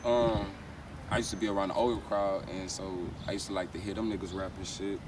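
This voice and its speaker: A man's voice: sits low, little pitch movement, brisk. An American man, a rapper being interviewed, chatting casually with heavy slang and frequent verbal tags. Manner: slangy, repeats verbal tags like "you know what I'm saying". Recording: noisy, distant mic, outdoor street ambience.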